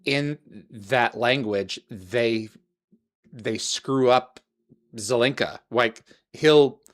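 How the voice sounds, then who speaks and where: A man's voice: medium pitch, drawn-out words. Man, host, chatty, eager, trivia buff, video call. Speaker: podcast host. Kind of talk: casual interview chat.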